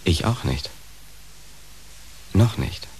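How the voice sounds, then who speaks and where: A man's voice: low-pitched, lively intonation, very slow, slight background noise, heavily acted, poor audio. An actor playing a young, devoted but resigned companion in a radio drama, wry and wistful in his delivery. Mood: resigned devotion. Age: young adult.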